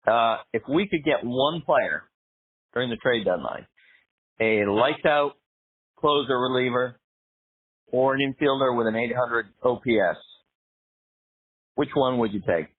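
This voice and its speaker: Mid-pitched man on a phone line. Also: a little slow, pauses often, slightly rough. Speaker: voicemail caller. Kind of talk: casual, hesitant question. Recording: narrowband phone voicemail.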